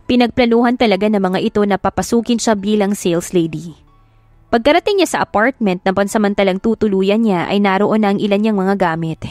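A woman's voice: mid-range voice, brisk, a little mellow, no echo. A woman with a Filipino accent narrating a dramatic audiobook. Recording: music behind the voice. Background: music.

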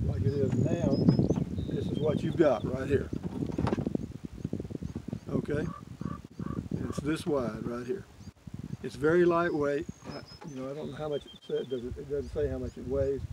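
Folksy older man outdoors, noisy. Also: on the high side. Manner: rambling, folksy, self-correcting. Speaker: vlogger reviewing gear. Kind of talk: casual product demo. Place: outdoors beside a pickup truck. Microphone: camera close up on tailgate. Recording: outdoor recording with clear background noise.

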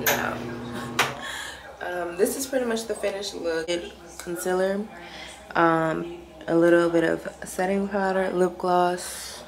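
Woman, 20s, chatty lifestyle vlogger, fresh from a nap, recorded off a distant camera in a roomy-sounding bathroom with loud room hiss. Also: on the low side. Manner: chatty, laid-back, slangy, talking while styling her hair. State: a little deeper than usual after a nap. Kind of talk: casual vlog chat. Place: hotel bathroom, indoors, small. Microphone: camera on the counter at arm's length. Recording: echoey bathroom, mic at a distance.

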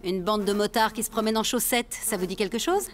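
Brisk, official policewoman, acted by a woman. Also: medium pitch, slight background noise, natural voice. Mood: stern. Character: stern policewoman who turns apologetic and admiring. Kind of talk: cartoon voice acting. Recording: music behind.

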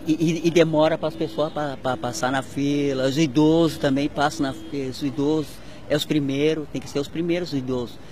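A man's voice: fairly high pitch, steady tone, moderate pace, darkish tone. A man in his forties talking in an interview, tripping over and repeating words.

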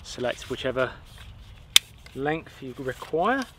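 A man in his thirties from the UK, chatting casually and excitedly to camera while setting up gear outdoors. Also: medium pitch, slow pace. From United Kingdom. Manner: upbeat, chatty, slangy. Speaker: outdoor vlogger. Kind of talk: casual show-and-tell to camera. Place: windy pebble beach outdoors. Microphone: camera mic outdoors. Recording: wind noise on outdoor mic. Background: wind and shore ambience.

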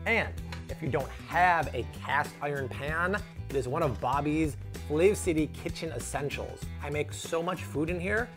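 A man in his thirties with a Chicago accent, hosting an energetic, playful cooking demo in his home kitchen. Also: on the high side. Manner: upbeat, playful, salesy. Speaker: cooking show host.

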